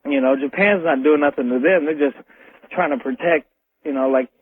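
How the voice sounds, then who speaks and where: An American man phoning a radio show, talking casually and haltingly and repeating his words. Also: fairly high pitch, slight background noise. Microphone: telephone. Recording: tinny phone line on radio.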